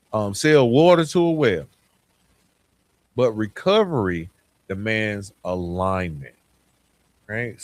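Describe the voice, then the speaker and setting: Male podcast host, low voice, reflective solo monologue, plain-spoken delivery with pauses for emphasis.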